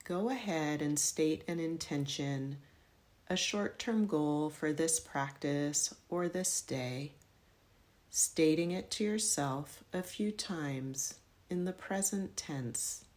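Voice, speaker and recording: A woman with a low voice leads a guided meditation. She speaks very slowly, laying out each instruction in measured phrases. Her direction is gentle and instructional, in the style of yoga nidra.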